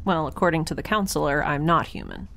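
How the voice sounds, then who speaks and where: Candid young sci-fi heroine: lower-toned, informal, inquisitive and wry, for audio drama. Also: female voice, huge pitch swings, brisk, creaky, a bit bright, slight background noise, exaggerated voice. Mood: wry, indignant. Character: candid, curious, wry young woman in space.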